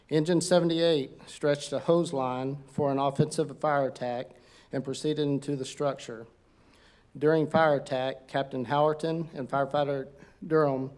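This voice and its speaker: A man, a fire captain, reading a formal incident report at a council meeting, steady with some halting fillers. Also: mid-range voice. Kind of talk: formal prepared speech. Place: council chamber.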